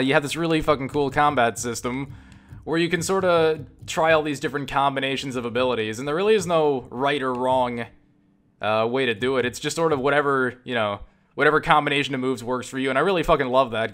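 A man giving casual, unscripted video game commentary, enthusiastic and sweary, often halting and repeating words as he rambles. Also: mid-range voice, a bit bright. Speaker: video game reviewer and player.

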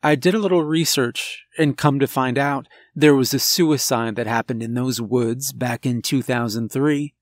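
A man narrating a scripted horror story in a deliberate, dramatic way with pauses. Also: medium pitch, dry recording, studio quality. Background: background music.

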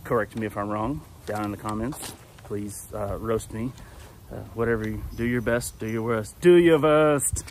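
A man's voice: fairly low pitch, normal speed, slight background noise. Rambling man outdoors. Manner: rambling, self-correcting, easygoing. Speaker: vlogger. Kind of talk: casual walk-and-talk monologue. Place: backyard outdoors. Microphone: phone held at arm's length.

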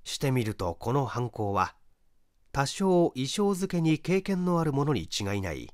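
A man reading a literary mystery as dramatised narration, in a formal, measured storytelling manner. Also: medium pitch, big pitch swings, a little mellow, no echo, clean studio sound. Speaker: audiobook reader, stage actor.

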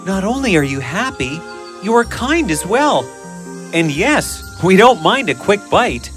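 Man acting a courteous, animated young nobleman. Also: sits high, a little slow, heavily acted. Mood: polite, eager. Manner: polite, formal, earnest. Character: courteous, devoted young nobleman husband on a quest. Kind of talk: animated fairy tale character acting. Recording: music bed behind the voice.

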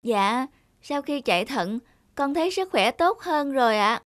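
A woman's voice: high voice, lively intonation, measured pace, no echo, clean studio sound. A woman voicing a polite, earnest, sickly little schoolboy in a cartoon. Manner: polite, soft-hearted, earnest. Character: gentle, sickly young schoolboy, polite and compassionate. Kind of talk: cartoon dubbing. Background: background music.

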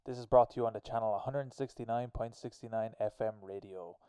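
A man's voice: fairly low pitch, brisk, warm tone, clear, resonant tone, dry recording. A man playing a chatty local radio announcer, heard through an old-time radio filter. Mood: announcing.

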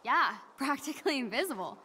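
A woman's voice: high voice, very animated, fairly quick, bright, forceful tone, exaggerated voice. A young woman with an American accent playing an eager, awkward teenage girl hero in a video game, chatty and informal. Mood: casual, curious. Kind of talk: naturalistic video game acting.

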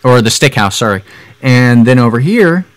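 Young male storyteller, mid pitch with lively swings, dramatized read-aloud with chatty asides. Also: normal speed, no echo. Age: young adult. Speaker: storyteller reading a picture book. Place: room at home, indoors.

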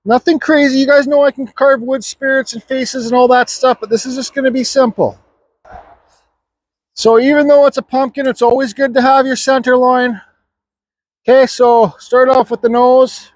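A man's voice: very high voice, no room sound. A middle-aged man giving an offhand, chatty chainsaw carving lesson in an outdoor yard, recorded on a nearby camera mic. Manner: offhand, chatty, talking while working. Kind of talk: casual how-to demonstration.

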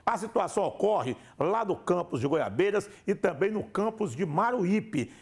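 Brazilian guy anchoring tv news, kinda husky, really up and down, chatty style. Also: high-pitched. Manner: colloquial, punctuated with tag questions. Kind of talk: conversational news presenting. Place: TV studio.

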